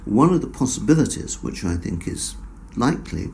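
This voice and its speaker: A man answering interview questions about his research in a measured, explanatory way, with frequent fillers. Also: medium pitch, sing-song, gravelly, dark tone, a little hiss or hum. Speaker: researcher guest.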